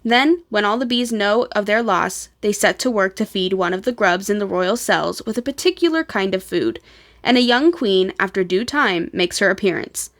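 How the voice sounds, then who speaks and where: A woman reads the part of a kindly old grandfather from a children's storybook. He is gentle and knowing, talking to children in old-fashioned, explanatory sentences. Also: medium pitch, expressive, normal speed, lightly acted. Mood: explaining.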